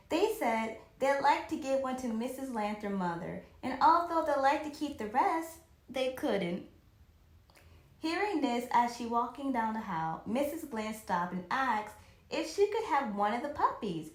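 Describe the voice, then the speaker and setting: A woman with a fairly high voice reads a children's story aloud in a roomy, echoing space. Her delivery is very lively and expressive, with an occasional stumble as she goes back over a phrase. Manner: animated, with occasional stumbles and rereadings.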